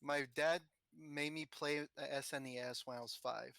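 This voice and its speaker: A man in his twenties chatting casually with fellow players over voice chat, in a relaxed, halting way. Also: on the high side, creaky, clear, resonant tone, no echo, clean studio sound. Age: 20s. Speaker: raid member on voice chat.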